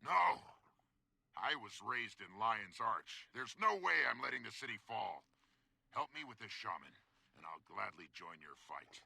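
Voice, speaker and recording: Gruff game soldier creature: hot-tempered grumbler, heavy gravel, swinging delivery. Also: male voice, lowish voice, big pitch swings, measured pace, dry recording, full character voice. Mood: alarmed, determined. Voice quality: gravelly, raspy. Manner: blunt, grumbling, forceful. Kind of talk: video game voice acting.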